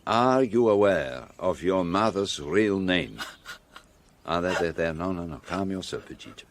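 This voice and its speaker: A shrewd courteous detective, french accent, lowish voice, theatrical radio drama. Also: male voice, expressive, a little slow, slight background noise. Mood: brisk, reassuring. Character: shrewd, composed Belgian male detective, wryly courteous.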